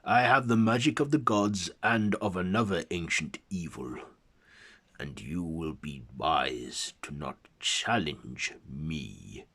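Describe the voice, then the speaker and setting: Man voicing a pompous, menacing undead Egyptian king, deep. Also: lively intonation, slow pace, a bit bright, husky, exaggerated voice. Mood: haughty. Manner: pompous, commanding. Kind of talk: dramatic storytelling character voice.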